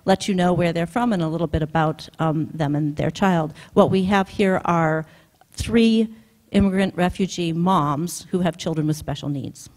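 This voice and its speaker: Low-voiced woman giving a keynote. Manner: relaxed, with frequent ums. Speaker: project manager presenting a keynote. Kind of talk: conference keynote talk. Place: conference hall.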